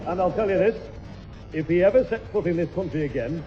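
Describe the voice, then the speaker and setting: An actor playing a tough, threatening big brother, blunt and sarcastic, in a 1960s radio sitcom. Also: male voice, sits high, lively intonation, quick pace, dull audio, faint music behind. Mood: menacing. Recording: band-limited old radio recording.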